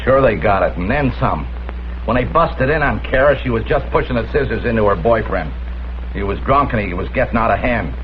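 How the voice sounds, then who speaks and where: A man's voice: medium pitch, animated, fairly quick, close to an everyday voice. Guy doing jittery evasive informant from an old crime show, slangy, dull hissy audio. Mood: frightened, confessing. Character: cornered, nervous small-time male informant. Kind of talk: mid-century crime drama acting. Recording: dull, noisy vintage broadcast audio.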